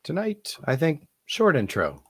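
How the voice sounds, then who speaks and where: A man, hoarse from illness, hosting a casual live video-call hangout and rambling with many ums and uhs. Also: on the high side, huge pitch swings, unhurried, heavy background noise. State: hoarse, sick, partly lost voice. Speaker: host of a live online horror movie hangout. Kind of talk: casual live-stream chat.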